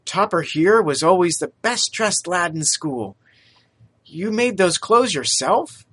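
A man doing a polite, stammering young gentleman. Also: high voice, expressive, takes it slowly, slightly rough, pitched above the performer's own voice, exaggerated voice. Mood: friendly. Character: earnest, apologetic young gentleman, the son.

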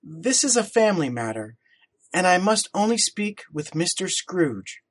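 A man's voice: high voice, very animated, measured pace, voice pushed up, exaggerated voice. A man reading a story aloud, voicing a polite, earnest young boy who speaks formally and a little hesitantly.

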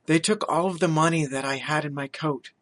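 A man voicing an earnest, polite young gentleman in a storybook reading, who stammers now and then. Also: on the high side, fairly quick, close to an everyday voice. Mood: apologetic.

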